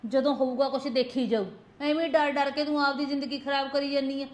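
An actress plays a fierce young sister-in-law who scolds and pushes. She talks in an insistent, no-nonsense way. Her voice sits a little high. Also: flat delivery, brisk, lightly acted. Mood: fierce urging. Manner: scolding, insistent, repeats demands for attention. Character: firm protective sister-in-law, blunt and fierce. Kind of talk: village family drama acting.

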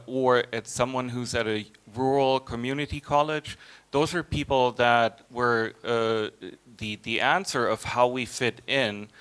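A man speaking thoughtfully on a conference panel, pausing often to find his words. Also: mid-range voice, a bit bright, smooth. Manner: thoughtful, halting. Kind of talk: panel discussion. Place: conference hall panel table.

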